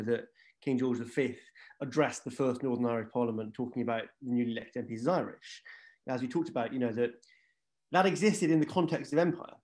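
A man's voice: mid-range voice, a little mellow. A man with a Scottish accent, a guest on an online panel discussion, arguing his point at length and peppering his talk with "you know". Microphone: video call.

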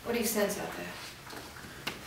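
A woman's voice: lowish voice, slow, vocal fry, heavily acted. A woman reading a cold, imperious female master. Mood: commanding. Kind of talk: staged script reading. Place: large indoor studio room. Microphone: far camera. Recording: echoey room from far off, background noise.

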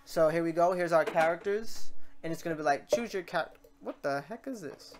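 An informal tutorial host talking through software steps casually, muttering asides and self-corrections while working. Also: mid-range voice, normal speed. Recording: noisy room, keyboard typing and clicks. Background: keyboard typing, mouse clicks, background noise.

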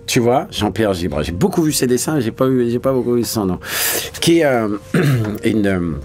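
A man's voice: medium pitch, animated, moderate pace, a little mellow, soft background music. A man, a voice actor talking casually in an interview, colloquial and searching for his words. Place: small recording studio.

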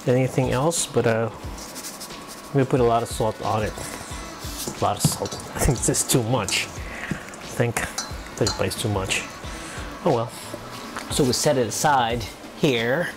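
A man's voice: mid-range voice, measured pace, long pauses, over music, rough recording. A young man with a Filipino accent chatting casually to the camera while he cooks in his home kitchen, making joking asides. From Philippines. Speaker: vlogger. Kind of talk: casual chat while cooking.

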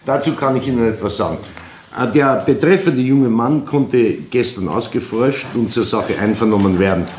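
A courteous, methodical Austrian police chief, played by a man in a radio crime drama. His medium-pitched voice is official and deliberate, with small hesitations. The room rings around him. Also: lightly acted. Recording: roomy, reverberant radio play sound.

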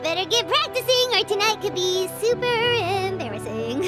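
A woman's voice: extremely high pitch, very animated, moderate pace, loud music behind the voice. A woman voicing a tiny floating fairy companion girl who is chirpy and blunt and talks about herself in the third person, in video game voice acting.

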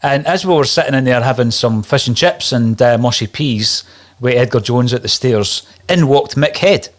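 A man's voice: medium pitch, normal speed. A man hosting a music interview show over a video call, asking questions and telling anecdotes. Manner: friendly, storytelling questions. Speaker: show host. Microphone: desk mic on video call.

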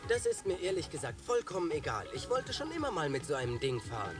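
An actor voicing an eager, adventurous young boy in a dubbed action cartoon, excitable and carefree. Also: mid-range voice, fast, natural voice. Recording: distant old cartoon soundtrack with music.

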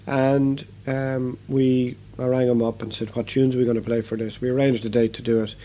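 A man's voice: medium pitch, little pitch movement, normal speed, warm tone, a little hiss or hum, low-quality recording. A man, a guest musician, chatting casually in an interview, rambling with many fillers and restarts. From Ireland.

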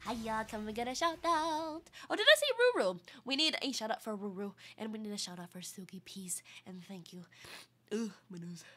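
A woman's voice: mid-range voice, moderate pace, fairly bright tone. A congested, nasal-sounding woman chatting casually and playfully with viewers as a livestreamer, giggly and affectionate. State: congested from illness. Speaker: streamer.

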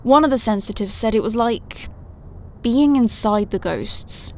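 An actress playing a doctor in an audio drama, giving a calm, precise clinical report. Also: female voice, a little high, measured pace, a little hiss or hum. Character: measured, clinical female doctor reporting observations.

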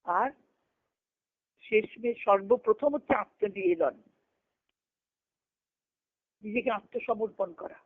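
A woman's voice: a little high, expressive, takes it slowly, creaky, long pauses, dry recording. A woman giving a devotional philosophical discourse as a spiritual teacher, posing questions and answering them, over a telephone conference call. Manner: didactic, poses questions and answers them, repeats phrases. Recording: thin phone-line conference call audio.

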